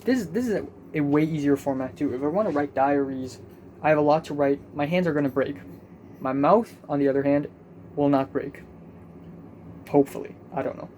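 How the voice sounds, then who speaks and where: Young man, rambling self-mocking vlog chat, slightly high voice, faint room hiss. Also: a little fast, dark tone. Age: young adult. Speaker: vlogger. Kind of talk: casual diary vlog.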